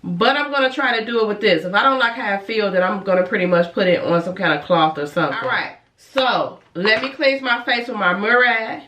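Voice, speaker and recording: A woman's voice: mid-range voice, fairly quick, few pauses, bright, forceful tone. A woman who is a licensed cosmetologist, chatting casually in a rambling, self-correcting way while reviewing skincare, a little congested from a cold. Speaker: licensed cosmetologist, beauty vlogger. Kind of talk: casual product review and demonstration. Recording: echoey room, mic at a distance.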